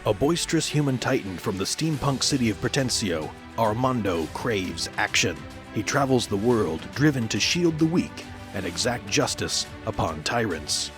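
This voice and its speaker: A man's voice: fairly low pitch, expressive, moderate pace. A man reading dramatic scripted narration in a grand, epic storytelling manner. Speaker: narrator of character introductions. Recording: voice over prominent music. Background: music.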